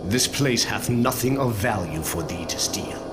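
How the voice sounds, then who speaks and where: A man playing a grave, haunted, aging holy man in a fantasy game, with solemn archaic speech that is often breathy and hushed. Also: fairly low pitch, animated, a little slow. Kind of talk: dramatic fantasy game acting. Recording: echoey room, loud music behind.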